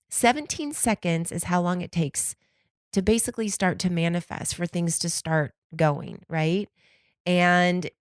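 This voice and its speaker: Lady podcaster w a stuffy nose, kinda croaky, chatty n candid, rambles casually, real dry close sound. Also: fairly low pitch, a little mellow, no echo. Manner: candid, conversational, slangy, acts out imagined quotes. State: congested, starting to lose her voice. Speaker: podcast host.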